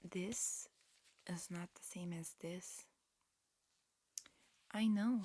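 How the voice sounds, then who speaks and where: A woman performing a whispered ASMR roleplay, mixing breathy whispers with soft speech in a halting, matter-of-fact, instructional manner. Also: fairly low pitch. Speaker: ASMR performer playing an alien examiner.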